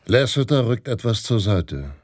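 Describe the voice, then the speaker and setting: An actor playing a grave, self-assured Western pulp narrator in a radio drama, speaking in a measured, dramatic way. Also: male voice, on the low side, sing-song, a little mellow, slight background noise. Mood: wry, self-assured. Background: guitar music.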